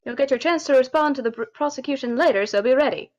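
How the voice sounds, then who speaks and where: A woman's voice: high voice, fast talker, darkish tone, highs cut off, a little hiss or hum, close to an everyday voice. A woman voicing a composed senior defense lawyer mentor in a video game read-through, with music behind her. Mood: instructive. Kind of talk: voiced visual novel read-through on a game stream.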